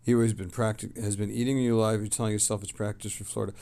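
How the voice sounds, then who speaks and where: A man casually hosting a livestream, reading messages aloud and replying in a rambling, halting way that trails off. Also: on the low side, level tone, creaky, warm tone.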